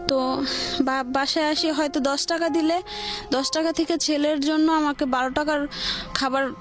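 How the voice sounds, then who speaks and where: Woman, high voice, Bangladeshi accent, hesitant personal storytelling, colloquial, music playing behind. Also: fairly even intonation, moderate pace. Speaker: caller or guest telling own life story. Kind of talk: personal storytelling on a radio show.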